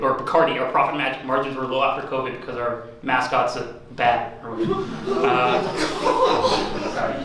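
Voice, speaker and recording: A young man from Singapore doing an open mic stand-up set with deadpan, dark jokes. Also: medium pitch. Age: young adult. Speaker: student stand-up comedian. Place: student forum room. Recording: echoey room from far off, audience noise.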